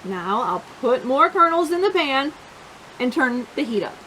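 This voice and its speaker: A woman plays an eager young storybook boy, hungry and cheerful, busy at the stove. The voice is high and bouncy with lively pitch swings, over a light hiss. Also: a little slow, exaggerated voice, rough recording. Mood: busy, focused.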